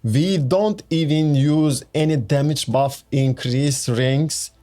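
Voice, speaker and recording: Slow-talking Turkish-accented man explaining a game build. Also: on the high side, mellow. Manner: chatty, many fillers and restarts. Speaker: gaming YouTuber. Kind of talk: casual game build walkthrough. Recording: faint background music.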